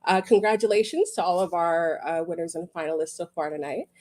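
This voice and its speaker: A woman's voice: on the low side, fairly even intonation, brisk, darkish tone. A woman presenting at an awards show in a casual, off-the-cuff way as one of its organisers.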